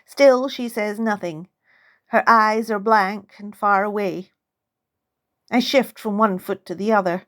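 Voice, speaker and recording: A woman author narrating a first-person story in character as a child, with expressive, dramatic storytelling. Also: medium pitch, measured pace. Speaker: author reading own novel. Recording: music under the voice.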